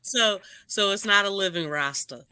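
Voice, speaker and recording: A woman's voice: mid-range voice, moderate pace, sharp tone, smooth. A woman with a New Orleans accent co-hosting a casual sports podcast, teasing and laughing often. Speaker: podcast co-host.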